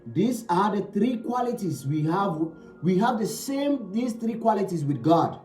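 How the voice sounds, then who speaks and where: Male spiritual teacher, animated pitch, emphatic and repetitive, roomy echo. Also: unhurried, soft background music. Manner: emphatic, repeats key phrases, asks rhetorical questions. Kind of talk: slow spiritual teaching talk.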